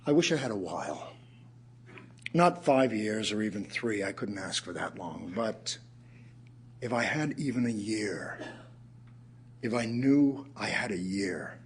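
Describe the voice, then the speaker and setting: An actor playing an ailing middle-aged writer, reciting his poems reflectively in an audio theatre piece, with a husky voice and emphatic pauses. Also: fairly low pitch, expressive, slight background noise. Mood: wistful. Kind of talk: dramatic audio theatre poetry reading.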